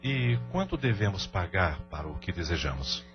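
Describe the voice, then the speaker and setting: A man's voice: low-pitched, big pitch swings, normal speed. A man playing a troubled, evasive businessman and father in an audio drama, with a hesitant, formal manner. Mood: evasive, probing. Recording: dull lo-fi audio with music underneath.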